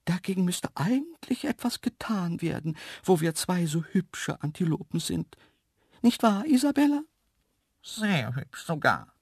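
A man's voice: high-pitched, sing-song, unhurried, breathy edge, no room sound, clean studio sound, voice pushed up, heavily acted. A man voicing a dainty, cheeky female antelope, easily offended, with a small delicate voice, in a children's story. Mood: vain. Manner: saucy, haughty.

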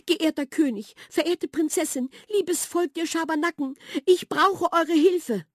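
A woman voicing an excited, anxious little female house mouse who talks in a high, trembling, pleading voice. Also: a little slow, dry recording, clean studio sound.

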